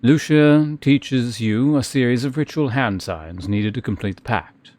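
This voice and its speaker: A man giving casual game commentary as a streamer, musing in an unhurried, hesitant way and reading game text aloud. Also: medium pitch, darkish tone. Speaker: live streamer playing a video game.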